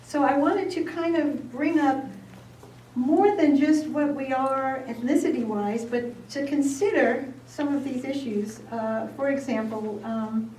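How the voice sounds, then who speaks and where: A woman moderating a panel discussion in a meeting room, chatty and relaxed with fillers and little laughs. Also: high voice, measured pace, slight background noise. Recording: echoey room, far from the mic.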